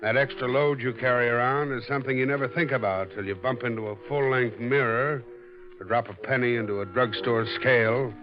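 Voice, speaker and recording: A man's voice: mid-range voice, steady tone, fairly quick, close to an everyday voice. An actor playing a wry, heavyset hard-boiled detective who narrates his own case in a 1940s radio drama. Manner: wry, easygoing first-person narration. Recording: dull lo-fi vintage radio recording with music.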